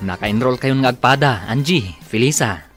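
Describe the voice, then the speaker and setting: A man's voice: medium pitch, animated, normal speed, over loud music, close to an everyday voice. A man playing a cheerful young male friend in an audio drama.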